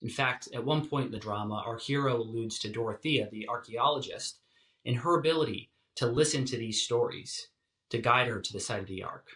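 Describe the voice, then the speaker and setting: Male lecturer, bright forceful voice, scripted delivery, measured and deliberate, roomy echo, slightly distant mic. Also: medium pitch. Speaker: lecturer, philosophy scholar.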